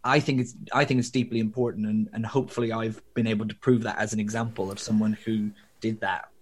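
A man's voice: lowish voice, flat delivery, fast talker. A young man from Northern Ireland with a faint, diluted Northern Irish accent, a theatre director talking reflectively in an interview, with plenty of fillers and restarts. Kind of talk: conversational interview.

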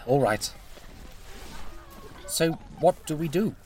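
A man acts out a despairing fantasy hero with a highish voice that swings widely. He is bitter, sardonic and heavy with guilt, in a dark audio drama. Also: expressive, slow pace. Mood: weary doubt.

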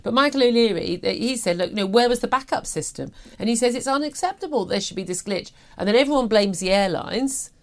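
A woman's voice: a little high, expressive, fast talker, hardly any pauses. A British woman in her fifties talking through the news as a talk show host, chatty, emphatic and opinionated. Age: 50s.

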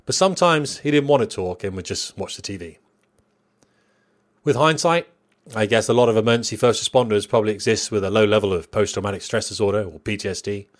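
Low-voiced man narrating a memoir. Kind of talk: scripted narration, reading a memoir.

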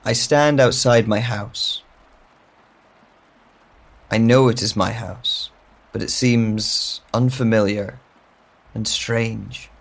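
A man acts as a lonely, bewildered man narrating his own confusion in an audio drama. His voice is low and gravelly, with long, hesitant pacing. He sounds introspective and unsure of what he remembers. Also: fairly even intonation, slow, pauses often, exaggerated voice. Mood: pensive, uneasy. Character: bewildered lonely man with lost memory exploring his home. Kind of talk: slow first-person audio drama monologue.

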